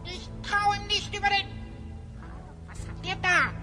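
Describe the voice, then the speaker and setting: Super high squeaky little magic sidekick, bossy, distrustful, exclaiming, fantasy radio play. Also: female voice, fairly even intonation, a little slow, music in the background. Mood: angry, distrustful. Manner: scolding, exclamatory. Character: feisty distrustful small magical companion of a hero.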